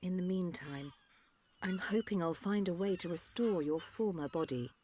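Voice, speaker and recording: An actress playing a woman engineer who speaks in a measured, technical and frank way. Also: on the low side, mellow, a little hiss or hum, natural voice. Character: careful woman engineer-doctor, candid.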